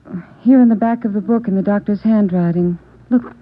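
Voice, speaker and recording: An actress playing a polite, slightly hesitant American doctor's nurse in a mid-century radio drama. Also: female voice, mid-range voice, a little fast, lightly acted. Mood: puzzled, helpful. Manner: courteous, hesitant. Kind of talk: 1940s-50s radio drama acting. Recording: tinny old radio recording.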